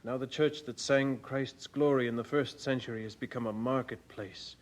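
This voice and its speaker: An actor playing an earnest, devout junior churchman in a radio drama, speaking solemnly and deliberately. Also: mid-range voice, fairly even intonation, natural voice. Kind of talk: old radio drama acting.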